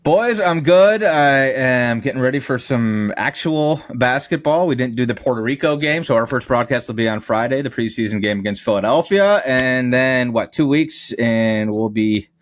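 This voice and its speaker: A man's voice: medium pitch, moderate pace, hardly any pauses, no room sound. A man, a basketball announcer, chatting casually as a podcast guest. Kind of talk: casual interview chat.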